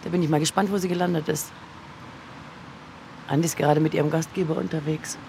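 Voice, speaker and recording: A man's voice: a little high, fairly even intonation, fast talker, creaky, a little mellow, a little hiss or hum. A man commenting casually as he goes.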